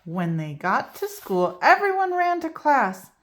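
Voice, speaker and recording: A woman reading a picture book aloud for preschool children, slow and expressive. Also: high voice, a little hiss or hum.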